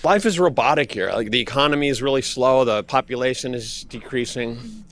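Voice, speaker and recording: A man's voice: medium pitch, lively intonation, fairly quick, a bit bright. A man of sixty answering interview questions in a casual, conversational way. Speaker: interviewee, long-time resident of Japan. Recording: noisy ambient background.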